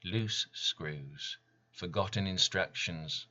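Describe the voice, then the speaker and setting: Slow, low-voiced man reciting poetry. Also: bright, forceful tone, muffled recording. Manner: deliberate, solemn, broken into short phrases. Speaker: poet reading own work.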